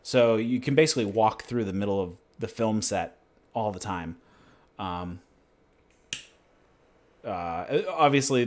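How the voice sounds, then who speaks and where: A man from New Hampshire, hosting his channel, chatting casually in a rambling, laid-back way. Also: lowish voice. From New Hampshire, USA. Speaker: channel host. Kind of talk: casual livestream chat and Q&A.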